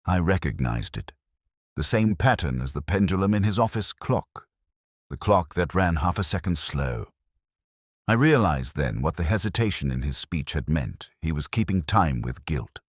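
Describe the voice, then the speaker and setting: A man reading scripted first-person detective narration in a measured, solemn and literary manner. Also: extremely low pitch, big pitch swings, no room sound, clean studio sound.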